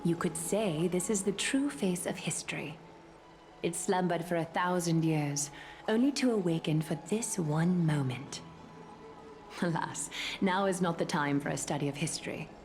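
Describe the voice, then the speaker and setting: Actress doing regal game lady leader, lowish voice, solemn n measured, old-fashioned formal talk, music behind. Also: natural voice. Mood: composed, commanding. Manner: stately, archaic, deliberate. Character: solemn, regal adult woman leader speaking of prophecy. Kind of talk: English video game voice acting, formal and measured.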